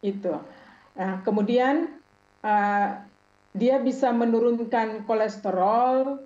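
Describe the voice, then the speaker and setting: A woman's voice: medium pitch, dark tone. Hesitant woman in an echoey room. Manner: measured, with many hesitations. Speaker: expert guest. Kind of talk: explaining on a talk show. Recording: echoey, dull lo-fi sound.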